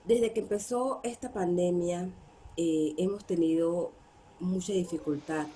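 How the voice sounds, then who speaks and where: Slow, mellow Panamanian woman. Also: lowish voice, breathy edge. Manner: hesitant, many fillers. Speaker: artisan cooperative member.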